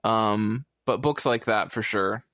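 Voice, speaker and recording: A man in his thirties chatting casually on a podcast as a panelist, telling things in an animated, joking way. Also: fairly low pitch, takes it slowly, no room sound, studio quality. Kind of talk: casual comics podcast chat.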